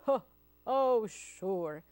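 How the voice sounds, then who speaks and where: A woman voicing a big, credulous male monster from a storybook, with drawn-out, emphatic words. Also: mid-range voice, sing-song, no room sound. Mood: scornfully amused.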